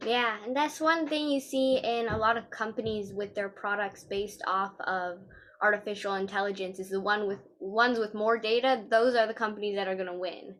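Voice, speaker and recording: Woman interviewer, casual and relaxed, medium pitch. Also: normal speed, a little hiss or hum. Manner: relaxed, with fillers like "like" and "uh".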